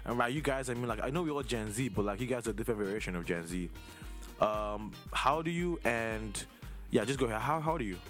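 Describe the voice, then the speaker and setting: Fast-talking young man, casual interviewer. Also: medium pitch, expressive, fairly bright tone, faint music behind. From Nigeria. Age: 20s. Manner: informal, rambling, circles around his questions. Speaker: host interviewing a younger relative.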